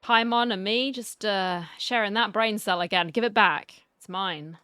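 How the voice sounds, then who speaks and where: A woman's voice: mid-range voice, measured pace, pushed and bright, clear, resonant tone, no echo. A woman streamer giving casual playthrough commentary, with playful, wry asides. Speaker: game streamer.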